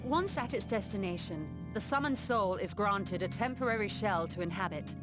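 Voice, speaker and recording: A woman's voice: medium pitch, lively intonation, moderate pace, loud music behind the voice, close to an everyday voice. A woman playing a scholarly, confident mage in a video game, explaining things in formal, articulate diction. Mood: measured, explanatory. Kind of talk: scripted video game dialogue.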